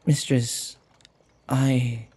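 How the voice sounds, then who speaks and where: Man acting a very breathy, timid, submissive young man. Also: medium pitch, flat delivery, warm tone, full character voice. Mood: bewildered, meek. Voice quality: breathy, husky. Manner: halting, stammering, hesitant. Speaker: audio roleplay voice actor. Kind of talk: intimate boyfriend audio roleplay.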